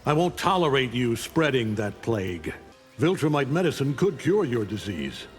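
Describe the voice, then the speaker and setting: An actor playing an arrogant, menacing alien superhero conqueror, imperious and taunting, in a fighting game. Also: male voice, mid-range voice, sing-song, normal speed, long reverb tail, faint music behind, natural voice. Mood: contemptuous. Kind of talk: fighting game character dialogue.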